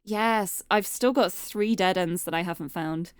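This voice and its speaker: A young woman streamer chatting casually and cheerfully, her voice getting a little hoarse and tired. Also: fairly low pitch, unhurried, no echo, clean studio sound. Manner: bubbly, chatty, restarts words often. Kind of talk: casual live-stream chat. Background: background game music.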